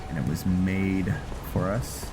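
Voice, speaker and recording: Male gameplay host, very unhurried and deep, casual, halting as he thinks aloud. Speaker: host of a game playthrough. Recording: music behind the voice. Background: game music.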